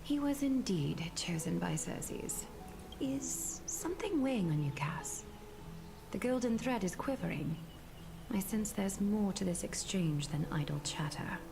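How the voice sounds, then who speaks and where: An actress playing a stately, quietly commanding noblewoman in a video game, speaking in measured, formal, eloquent phrases. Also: mid-range voice, lively intonation, gravelly. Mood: probing. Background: background music.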